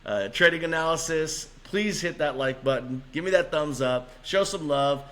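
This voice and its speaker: A man's voice: on the high side, animated, moderate pace, a bit bright. A man presenting informal market chart commentary as a channel host, upbeat and emphatic, repeating key words for stress. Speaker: host of a stock trading analysis channel.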